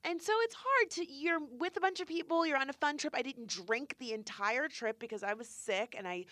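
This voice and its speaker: Bright-voiced, chatty woman. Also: high voice, brisk, no echo. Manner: animated, rambling, heavy use of "like". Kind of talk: casual chat.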